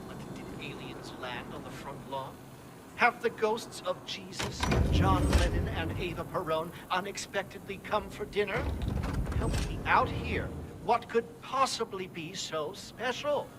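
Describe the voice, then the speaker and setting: Fussy game sommelier: high voice, theatrically sarcastic, exasperated, very noisy surroundings. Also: male voice, lively intonation, measured pace. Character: sarcastic, exasperated male wine steward. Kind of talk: video game cutscene acting. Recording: loud vehicle-like ambient noise.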